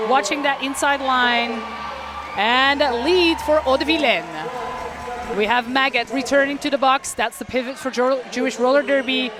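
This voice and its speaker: Roller derby commentator. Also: high-pitched. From Belgium. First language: Dutch. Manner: chatty, self-deprecating, laughs often. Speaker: play-by-play commentator. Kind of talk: live sports commentary.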